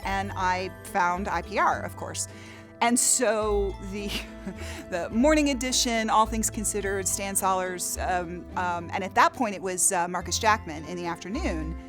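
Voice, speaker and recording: A woman's voice: mid-range voice, a bit bright. A woman, a drama teacher and director, talking in an interview in an enthusiastic, emphatic way. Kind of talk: interview recollection.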